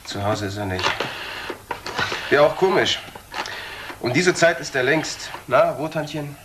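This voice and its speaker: A man playing a bitter, taunting nephew in a German crime radio play, reproachful and sardonic with pointed pauses. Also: a little high, expressive. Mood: bitter, subdued. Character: bitter, taunting young nephew of an unloved aunt. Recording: roomy, clearly noisy old audio.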